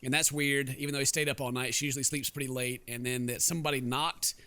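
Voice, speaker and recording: A man's voice: medium pitch, fairly even intonation, fast talker, pushed and bright, no room sound. A man doing casual let's play commentary, jokey and rambling, reading game text aloud as he goes. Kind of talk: casual gameplay commentary with read-aloud narration.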